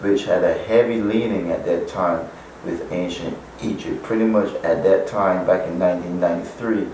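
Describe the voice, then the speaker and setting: A man's voice: sits low, fairly even intonation, moderate pace, a little mellow. A man from Barbados, an author answering interview questions at length, with many "you know" fillers. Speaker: author and guest. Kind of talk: interview answers, spiritual teaching. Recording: echoey far-off room, faint noise.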